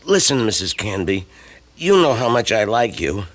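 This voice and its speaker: Coughing, shifty young boarder. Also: male voice, fairly low pitch, big pitch swings, moderate pace. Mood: coaxing, uneasy. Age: young adult. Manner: hesitant, stammering, coaxing. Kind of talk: old radio drama acting. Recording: vintage radio drama with music.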